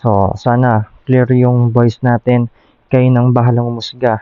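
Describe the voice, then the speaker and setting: A man's voice: medium pitch, flat delivery, a little slow, no echo. A man with a Filipino accent giving a casual vlog-style product demo, speaking informally into a helmet intercom mic. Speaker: motovlogger and product reviewer. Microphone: Bluetooth intercom inside motorcycle helmet. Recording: dull helmet intercom mic, loud noise.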